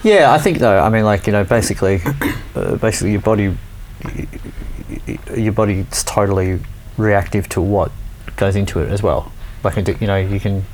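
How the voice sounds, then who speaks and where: Low male co-host, rambling and opinionated, lots of restarts, faint hiss. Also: moderate pace, a little mellow, rough recording. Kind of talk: casual chat.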